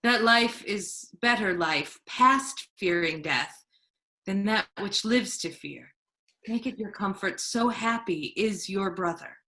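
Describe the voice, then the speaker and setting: A woman playing a stern, authoritative male duke in a measured, formal Shakespearean stage reading. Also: medium pitch, expressive, sharp tone, full character voice. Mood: calm, consoling.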